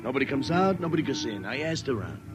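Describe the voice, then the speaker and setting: A man's voice: mid-range voice, big pitch swings, fast, over music, lightly acted. An actor with an American accent playing a cocky, dim, flirtatious tough-guy sidekick in a brash, slangy 1950s radio drama manner. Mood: cocky, easygoing.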